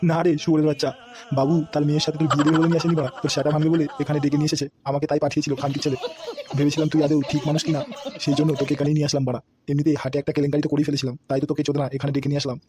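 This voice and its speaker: Man voicing a rapid, flat-toned scheming cartoon henchman. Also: fairly high pitch, natural voice. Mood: defensive, irritated. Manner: grumbling, sly, crude. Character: scheming, foul-mouthed male servant and henchman of a politician. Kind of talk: comedy cartoon dialogue.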